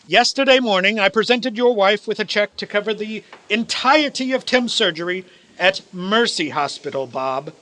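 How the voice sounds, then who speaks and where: A man's voice: high-pitched, lively intonation, normal speed, bright, forceful tone. An actor playing an elderly reformed miser in a radio play, grand, formal and emphatic in his delivery. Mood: generous, brisk.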